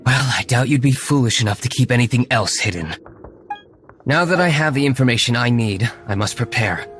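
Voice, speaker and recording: A man's voice: medium pitch, expressive, brisk, a bit bright, slight background noise, close to an everyday voice. A man playing a cool, menacing aristocratic young hero in a video game, stern and formal. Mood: stern, composed. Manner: stern, formal, coolly commanding.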